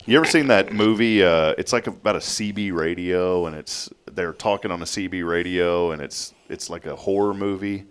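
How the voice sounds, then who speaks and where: A man's voice: deep voice, normal speed, few pauses, smooth. A man hosting a morning radio show in casual, jokey, upbeat banter.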